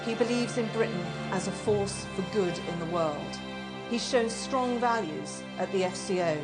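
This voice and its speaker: Female, assured crisp endorsement, music bed. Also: medium pitch, moderate pace. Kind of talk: endorsement in a campaign video. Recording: music bed under interview.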